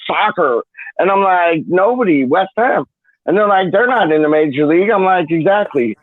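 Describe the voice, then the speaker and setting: A man chatting on a live stream in an animated, slangy way, acting out little dialogues as he tells stories. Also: high-pitched, normal speed, narrow, tinny audio. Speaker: guest of honour, birthday person. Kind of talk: casual live-stream chat.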